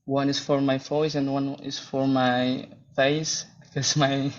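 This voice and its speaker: A young man speaks in a mid-pitched voice, slowly and carefully, pausing to search for words. He joins the discussion over a video call, and the sound is muffled and lo-fi. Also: little pitch movement. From Indonesia. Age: 20s. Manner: slow, searching for words, apologetic. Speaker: university student. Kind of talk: casual debate contribution. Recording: dull lo-fi call audio.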